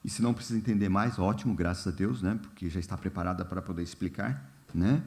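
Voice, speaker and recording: Man, preacher, casual bible study, fillers and questions to the room, low mellow voice. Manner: unhurried, many fillers and "né?", asks the congregation questions. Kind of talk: informal church Bible study talk. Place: church hall.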